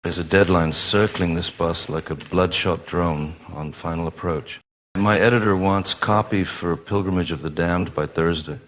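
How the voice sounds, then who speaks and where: A low male voice acted by a man gives us a world-weary, half-mad gonzo reporter. He is ominous and darkly sardonic, delivering monologues in a radio comedy. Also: steady tone, normal speed, lightly acted. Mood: frayed, sardonic.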